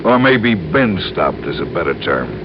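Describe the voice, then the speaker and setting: Earnest insurance man in an old radio mystery, low voice, careful explaining, muffled with lots of hiss. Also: big pitch swings. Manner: deliberate, explanatory, hedging. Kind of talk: 1950s radio crime drama acting.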